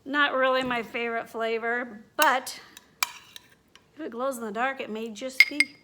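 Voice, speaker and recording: A woman's voice: on the high side, fairly even intonation, unhurried, noisy background. A woman hosting a children's read-aloud and chatty demonstration, speaking directly to young listeners and asking them questions. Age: adult.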